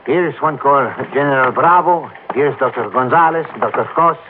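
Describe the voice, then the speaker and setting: A man plays a young Mexican-American sergeant in a vintage radio drama. He is polite and cautious, with a medium-pitched voice. The recording is thin, with faint noise. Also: normal speed, narrow, tinny audio, close to an everyday voice. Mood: earnest, cautious. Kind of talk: 1950s radio drama acting.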